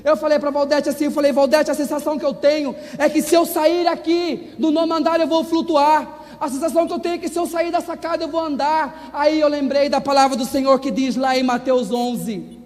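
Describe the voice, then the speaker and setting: Casting: Brazilian male evangelical preacher, strikingly high pitch, impassioned declamatory testimony, heavily reverberant hall sound. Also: fairly even intonation, a little fast, a little mellow. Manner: fervent, emphatic, repetitive declamation. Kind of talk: evangelical sermon and personal testimony.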